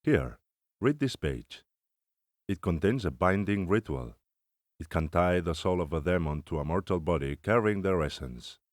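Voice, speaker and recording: A man playing a stern, solemn older vampire-slayer elder, speaking gravely and formally in a cinematic audio roleplay. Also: sits low, expressive, normal speed, no echo, studio quality. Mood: grave, insistent.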